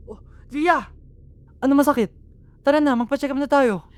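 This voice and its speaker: A man's voice: very high-pitched, normal speed, dry recording. A young man with a Filipino accent playing a weary, resentful eldest son who supports his family, earnest and emotional, in an amateur radio drama. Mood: worried, resigned. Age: young adult.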